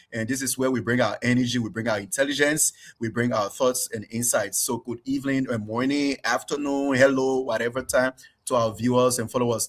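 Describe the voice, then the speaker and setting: A man who sits on the show as a regular panelist, greeting everyone with flattering praise, energetic and laughing. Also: medium pitch. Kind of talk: effusive greetings.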